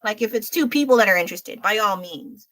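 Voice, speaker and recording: Bright-voiced, chatty young American woman. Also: medium pitch, expressive, fairly quick. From United States. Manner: chatty and frank, laughs often, thinks aloud. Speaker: livestreaming artist. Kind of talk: rambling livestream chat while painting. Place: home at night.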